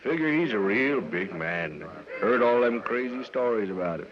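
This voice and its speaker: An actor playing a sly, menacing frontier saloon tough in a 1950s radio western, with a folksy, insinuating American Western drawl. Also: male voice, medium pitch, expressive, normal speed, a crowd in the background, close to an everyday voice. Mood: scornful, threatening. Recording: muffled radio recording with music.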